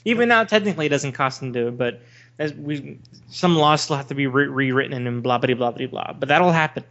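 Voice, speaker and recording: A man's voice: medium pitch, fairly quick, fairly bright tone. A man co-hosting a podcast, talking casually in an opinionated, rambling way. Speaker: podcast co-host. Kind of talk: casual discussion of video games. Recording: dull lo-fi voice recording.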